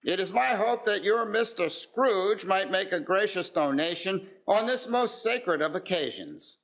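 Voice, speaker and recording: Man playing high-voiced, earnest charity gentleman. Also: animated, a little slow, full character voice. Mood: courteous, hopeful. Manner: courteous, formal, earnest. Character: polite, earnest gentleman collecting for church charity. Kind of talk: community theatre radio play acting.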